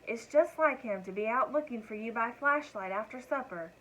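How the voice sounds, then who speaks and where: A woman's voice: on the high side, expressive, moderate pace, fairly bright tone, rough recording. A woman voicing kindly farm cows who talk in a helpful, conspiratorial way. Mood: hushed warning.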